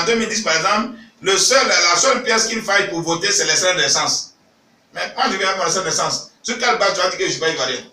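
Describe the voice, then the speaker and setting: A man's voice: sits high, recorded from a distance. A man from Ivory Coast speaking at a press conference, emphatic and raising his voice to stress points. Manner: emphatic, rising to shouts when stressing points. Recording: echoey room, voice from off-mic.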